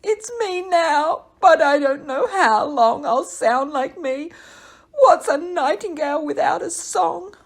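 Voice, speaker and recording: A woman's voice: high voice, very animated, measured pace, pitched above the performer's own voice. A woman voicing a nervous young male nightingale who stutters and whines tearfully. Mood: anxious, tearful.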